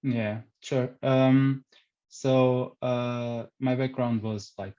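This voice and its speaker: Slow, hesitant Portuguese-accented man. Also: medium pitch, level tone, warm tone, clear, resonant tone, highs cut off. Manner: hesitant, many fillers and restarts. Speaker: podcast guest, frontend developer. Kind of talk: casual interview answers.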